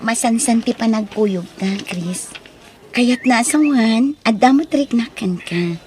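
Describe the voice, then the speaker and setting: A woman acts out an affectionate young girlfriend in an Ilocano audio drama. Her voice sits high and carries an Ilocano accent, with noise behind it. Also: takes it slowly, darkish tone. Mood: teasing. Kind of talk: Ilocano radio-style audio drama acting.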